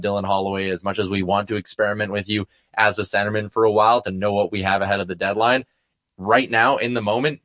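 Sports show analyst, male, fairly low pitch, dry recording, keen and opinionated casual hockey talk. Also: fairly bright tone, dry recording.

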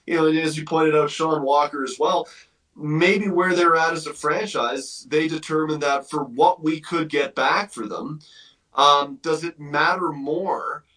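A man's voice: on the high side, fairly bright tone, recorded from a distance, rough recording. A man giving emphatic sports commentary as a guest analyst, thinking aloud and repeating phrases as he builds his point.

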